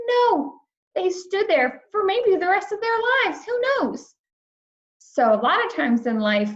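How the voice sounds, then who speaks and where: A woman teacher with a fairly high voice leads a children's read-aloud. She speaks at a slow pace in simple, emphatic phrases, and the recording is a little dull and lo-fi. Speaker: schoolteacher.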